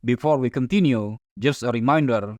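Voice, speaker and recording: A man whose first language is Indonesian, calmly narrating a step-by-step software tutorial over a screen recording. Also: medium pitch, moderate pace, no room sound. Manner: calm, methodical step-by-step explaining.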